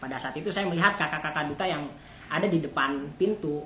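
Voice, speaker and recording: A man in his early twenties from Banten, Indonesia, a native Sundanese speaker, talking haltingly as a student interview guest. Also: on the high side. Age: early 20s. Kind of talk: halting self-introduction and explanation. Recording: echoey room, loud background noise.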